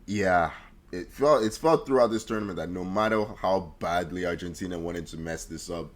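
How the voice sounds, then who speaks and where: A man's voice: low-pitched, normal speed. A man co-hosting a football podcast, talking casually and informally, with some swearing. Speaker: podcast co-host.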